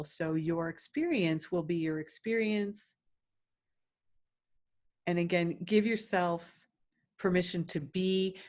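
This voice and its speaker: A woman guides a meditation in a fairly low voice. Her delivery is very slow, with long silences between phrases. She gives her instructions gently and soothingly, as a teacher would.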